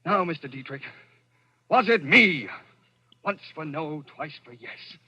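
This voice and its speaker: An actor playing a driven, insistent man on the run, accused of murder, in a husky voice in 1940s radio drama style. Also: on the high side, animated, unhurried, pushed and bright, heavily acted. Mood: intense, insistent. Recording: dull lo-fi old radio recording.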